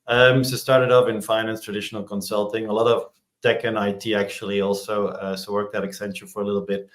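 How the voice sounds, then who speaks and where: A man, a podcast guest talking in a relaxed, rambling way as he searches for words. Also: lowish voice, fairly quick. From Belgium. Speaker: podcast guest, startup executive. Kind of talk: casual interview chat.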